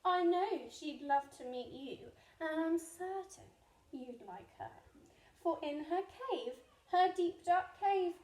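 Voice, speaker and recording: A woman's voice: extremely high pitch, animated, slow pace, darkish tone, reverberant, heavily acted. A woman voicing a plucky young female duck in a storybook, coaxing and cunning with a sing-song delivery.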